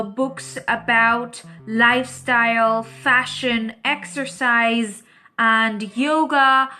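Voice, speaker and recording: A woman teaching an English speaking-test lesson, speaking slowly and clearly and repeating each question for learners. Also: a little high, sharp tone.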